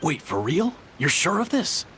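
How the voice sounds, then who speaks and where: An actor playing a grumbling, distrustful foot soldier who complains indignantly, in a video game. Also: male voice, on the high side, sing-song, a little slow, pushed and bright, a little hiss or hum, exaggerated voice. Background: game music.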